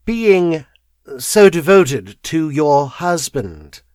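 Guy doing a polite cautious lawyer in an audiobook, earnest, kinda hesitant, voice pushed up higher. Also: very animated, a little slow, no echo, clean studio sound. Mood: uneasy, earnest. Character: polite, cautious male English solicitor.

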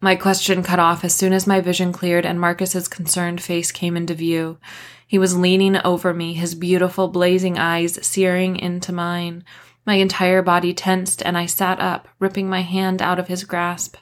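A woman's voice: on the low side, completely flat delivery, normal speed. A woman author narrating her own novel as a scripted audiobook reading.

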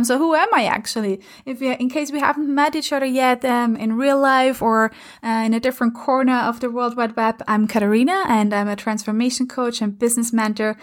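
A woman in her thirties from Austria, a native German speaker with an Austrian German accent, hosting her own podcast in an earnest, encouraging way with many fillers. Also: fairly high pitch. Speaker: podcast host and coach. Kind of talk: casual solo podcast talk. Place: home in London.

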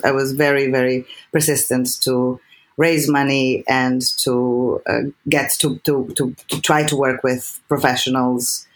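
Guest: Serbian-accented woman, animated, earnest, restarts a lot. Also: extremely low pitch. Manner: animated, many restarts and repeated words. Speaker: guest filmmaker. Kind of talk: earnest interview talk.

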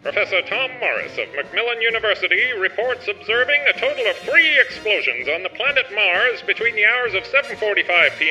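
A man's voice: high voice, pushed and bright, full character voice. An actor playing an urgent male radio news announcer, delivering a formal, dramatic bulletin in old-time style. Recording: dull lo-fi radio sound.

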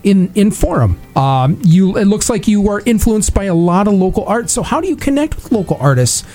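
Upbeat radio host with a mellow voice. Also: male voice, high voice, big pitch swings, a little fast. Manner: eager, repeats and restarts words. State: dry voice, clearing throat. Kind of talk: live radio interview.

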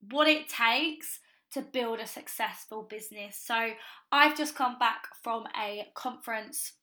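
A young woman hosting her own podcast in an upbeat, gushing tone full of ums, her voice a little croaky. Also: on the high side, a little slow, pushed and bright. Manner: upbeat, gushing, with frequent ums and "you know". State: slightly croaky voice after a party. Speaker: podcast host and business coach. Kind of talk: casual solo podcast talk.

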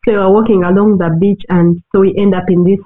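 A podcast co-host from Uganda talks in a slow, reflective way, often breaking into soft laughs. The voice sits at a middle pitch. It comes through a thin, phone-like line. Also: level tone. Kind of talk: casual chat.

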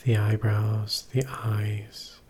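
A man leading a guided meditation as a teacher, giving gentle, measured instructions in short phrases with pauses between them. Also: on the low side, monotone, slightly rough.

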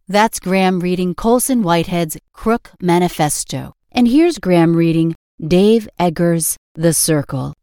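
A woman's voice: fairly low pitch, unhurried, hardly any pauses, mellow, smooth, no echo, clean studio sound. A woman reading scripted narration as the narrator of a podcast.

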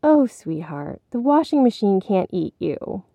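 A woman voicing a gentle, concerned mother in a storybook, reassuring a child in a soothing manner. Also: fairly high pitch, sing-song, measured pace, no room sound, phone-quality sound, pitched above the performer's own voice.

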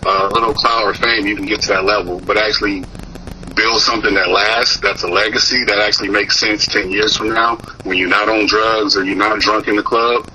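A man's voice: on the low side, fairly even intonation, a little fast, pushed and bright, slight background noise, low-quality recording. A young man from Mississippi, a musician guest in a casual interview, talking loosely with many fillers and restarts.

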